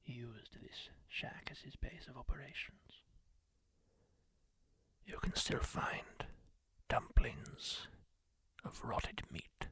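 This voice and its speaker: A man doing a whispered roleplay, voicing a kindly former war surgeon who soothingly tends a wounded stranger, gentle and reassuring up close to the mic. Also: mid-range voice, expressive, breathy edge, no echo, full character voice. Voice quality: soft whisper, slow and soothing. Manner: gentle, reassuring, asks permission. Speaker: ASMR roleplayer.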